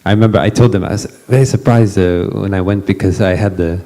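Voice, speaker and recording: A man's voice: deep voice, fairly even intonation, quick pace, mellow. A middle-aged Western man introducing a guest to a retreat gathering, hesitant, with many fillers and restarts. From Western country. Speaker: retreat panel moderator.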